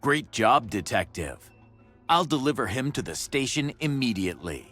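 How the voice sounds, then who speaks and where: An actor playing a dutiful police commissioner in a video game, with a sincere, weighty delivery. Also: male voice, medium pitch, sing-song, normal speed, fairly bright tone, faint music behind. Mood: relieved. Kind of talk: video game cutscene acting.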